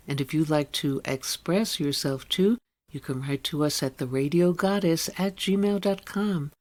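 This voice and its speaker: A woman's voice: low-pitched, darkish tone. Woman, radio host, scripted announcement, programme sign-off delivery.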